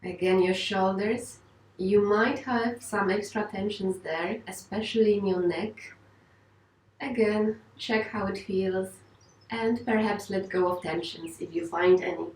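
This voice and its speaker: A woman teaching yoga and guided meditation, speaking in a gentle, unhurried, reassuring way. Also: medium pitch, fairly even intonation. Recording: roomy, distant microphone.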